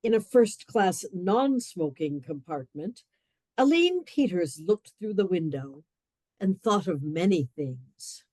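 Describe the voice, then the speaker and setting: A woman reads the narration of a radio play with a slow, unhurried pace. Her lowish voice rises and falls with a lively melody. The recording is close and dry. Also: no room sound.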